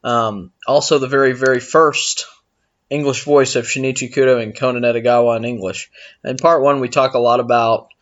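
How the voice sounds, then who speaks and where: A man speaking as a podcast host in a casual, somewhat halting conversational way. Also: mid-range voice, steady tone, fairly bright tone, lo-fi sound. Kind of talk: casual podcast intro and interview talk.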